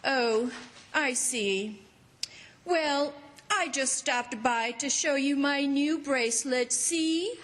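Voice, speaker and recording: An actress playing a vain, plump society matron with pompous, genteel phrasing in old-time radio comedy. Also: female voice, high-pitched, slow, a bit bright. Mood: gushing pride.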